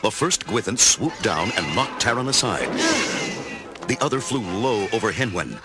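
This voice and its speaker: A man's voice: on the low side, animated, normal speed, loud music behind the voice. A man reading dramatic scripted narration for a children's storybook.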